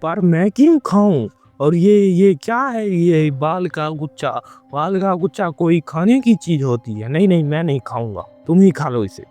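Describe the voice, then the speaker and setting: A man's voice: fairly high pitch, expressive, unhurried, few pauses, dark tone, no echo, soft background music. A man voicing a reluctant, easily spooked fellow in a horror cartoon, protesting and querulous. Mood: reluctant, grumbling. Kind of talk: Hindi horror cartoon voice acting.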